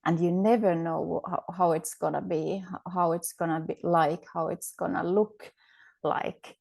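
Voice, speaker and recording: Finnish-accented woman guest, lower-pitched, unhurried, searching for words. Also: fairly even intonation, creaky, darkish tone. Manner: searching for words, many fillers and restarts. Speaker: podcast guest, astrologer. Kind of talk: reflective interview conversation.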